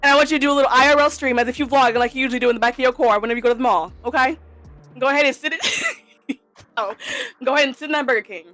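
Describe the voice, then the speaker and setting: A woman's voice: high voice, very fast, a bit bright, soft background music. A woman in her twenties, a podcast guest, chatting casually and playfully with jokes and teasing. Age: 20s.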